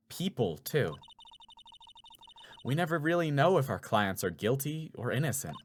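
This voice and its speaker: A man voicing a kind, wise woman lawyer who mentors in a measured, earnest way in a video game. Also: medium pitch, a little hiss or hum, pitched below the performer's own voice.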